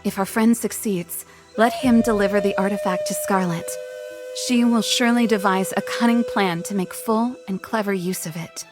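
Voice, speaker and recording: A gentle young woman from a fantasy game, played by an actress, narrates a letter in a medium-pitched voice. She is tender, hopeful and formal in phrasing, with music clearly playing behind her. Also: normal speed, close to an everyday voice. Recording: studio voice over background music.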